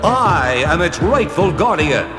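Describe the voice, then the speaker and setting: Brave cartoon warrior hero, slow earnest delivery w big swoops, music under. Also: male voice, a little high, very animated. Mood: resolute. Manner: resolute, declamatory. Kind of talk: 1980s children's cartoon acting.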